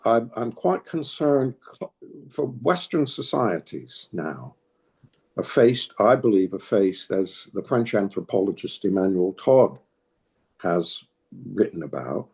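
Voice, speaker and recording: A man's voice: lowish voice, very animated, takes it slowly, a little mellow. An older man with a New England accent, answering interview questions in a hesitant, thoughtful way, with frequent restarts. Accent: New England American. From New England, United States. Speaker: interviewee, political activist. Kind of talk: reflective interview talk.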